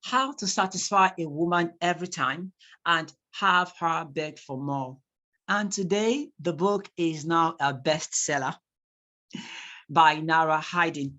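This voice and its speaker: An instructor with a Nigerian accent, a native Yoruba speaker, lecturing a class in an animated, emphatic way. Important phrases come back twice so that they sink in. The voice sits at an ordinary, middle pitch. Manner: animated, repeats key points for emphasis.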